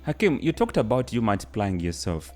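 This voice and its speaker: Lively-voiced podcast host. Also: male voice, fairly low pitch, a little fast, faint music behind. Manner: curious, prompting, frequent mm backchannels. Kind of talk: interview questions.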